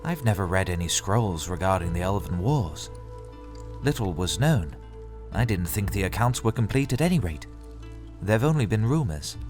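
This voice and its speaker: A man's voice: deep voice, sing-song, moderate pace, a little mellow. A man playing an inquisitive young knight. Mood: doubtful. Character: Turum, earnest questioning male knight. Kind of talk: audio drama acting. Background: music.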